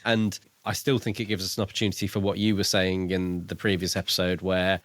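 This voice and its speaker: A man co-hosting a podcast, discussing a book in a casual, measured and reflective way. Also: low voice, little pitch movement, a bit bright, dry recording. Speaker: podcast co-host.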